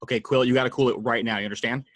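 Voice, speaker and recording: Forceful, urgent armored hero played by a man. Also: mid-range voice, steady tone, racing, lightly acted. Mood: tense pleading. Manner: clipped, pleading orders, repeats himself. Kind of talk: amateur table read of a film script.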